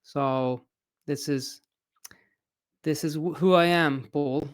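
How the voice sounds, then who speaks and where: A man with an Italian accent talks unhurriedly as a guest, in a fairly high and very clear voice. He hesitates and restarts often, and his voice rises and falls with feeling. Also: fairly even intonation, slow pace. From Italy. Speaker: English teacher and writer, podcast guest. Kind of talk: casual interview chat.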